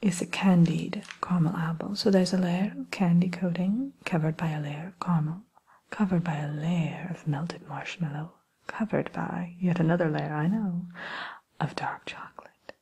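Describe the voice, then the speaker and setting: A woman playing a sly, genteel evil queen shopkeeper in a soft, breathy, often whispered ASMR role play. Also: on the low side. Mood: gloating, confiding. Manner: unhurried, genteel, slyly menacing.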